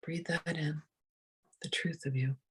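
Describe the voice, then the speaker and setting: A meditation guide with a soft, breathy voice, speaking gently and soothingly with long, unhurried pauses. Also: mid-range voice, fairly even intonation.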